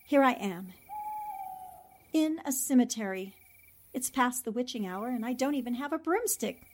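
A woman's voice: fairly high pitch, animated, moderate pace, darkish tone, a little hiss or hum, close to an everyday voice. A woman playing a plucky, wisecracking young newspaperwoman sleuth in a 1940s-style radio drama, with a flippant, arch way of talking. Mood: muttering, wry. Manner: talks to herself, flippant, arch.

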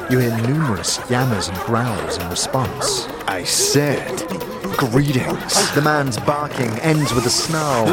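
A male narrator delivers scripted fantasy storytelling in a theatrical, vivid way. His pitch is mid-range and moves a great deal, and prominent music plays behind him throughout. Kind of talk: dramatic scripted second-person fantasy narration.

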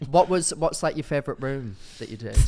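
Excitable male podcast host. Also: medium pitch, brisk, over a noisy crowd. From northern England. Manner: excitable, camp, calls people love and darling. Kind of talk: casual podcast chat.

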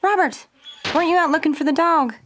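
A woman's voice: high-pitched, fairly quick, a bit bright, soft background music. A woman playing a headstrong, folksy 1930s young mother with a Southern US accent in an old-time radio drama. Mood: brisk.

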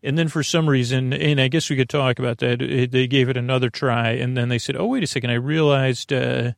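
Creaky-voiced man, rambling bedtime podcast host. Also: mid-range voice, steady tone, a little fast, no room sound, clean studio sound. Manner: meandering, tangled, self-deprecating. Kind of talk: rambling bedtime monologue to the listener.

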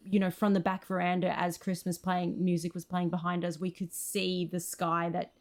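An Australian woman with a fairly low voice speaks as an interview guest. She is enthusiastic and emphatic, unfolding her anecdotes in a conversational way. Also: level tone, normal speed. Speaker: screenwriter and actor, interview guest.

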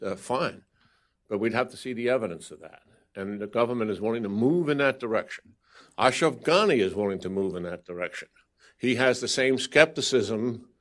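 A man, a government official, answering questions at a press briefing in a measured way, restarting his sentences now and then. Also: on the low side.

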